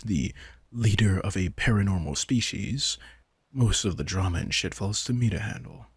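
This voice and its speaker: A man playing a low, husky, smug vampire king. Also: darkish tone, no room sound, exaggerated voice. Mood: wry, boastful. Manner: laid-back, wry, teasing, informal swearing. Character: sardonic, casual young-sounding male vampire king, smug and manipulative. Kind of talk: intimate audio roleplay, addressing the listener.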